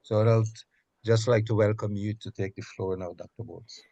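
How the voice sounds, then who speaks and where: A man chairing a political meeting, speaking off the cuff in a halting, measured way. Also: fairly low pitch, fairly even intonation, creaky, warm tone, dull audio. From Guyana. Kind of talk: extemporaneous political meeting remarks.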